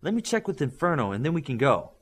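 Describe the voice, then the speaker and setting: A man reading an audiobook, voicing a measured, responsible young man in charge. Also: fairly high pitch, very animated, slightly rough, voice pushed up, heavily acted. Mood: steady.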